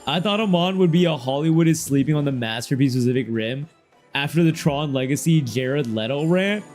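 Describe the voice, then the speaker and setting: A young man streaming a game and chatting casually with his viewers, rambling in heavy slang and often trailing off quieter. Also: fairly high pitch, lively intonation, fairly quick. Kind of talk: casual livestream chat, reading chat aloud. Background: faint game music.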